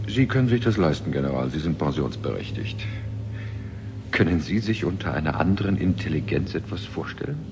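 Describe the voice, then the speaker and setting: A man's voice: extremely low pitch, animated, a little fast. An actor playing a dry, sardonic man in a German radio drama from 1970. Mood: dry, uneasy.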